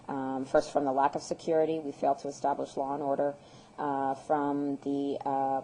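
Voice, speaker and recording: A woman in her thirties from the United States, answering interview questions in an earnest, measured way with frequent ums and uhs. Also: very deep voice, warm tone, a little hiss or hum, rough recording. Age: 30s. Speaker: guest, peace activist.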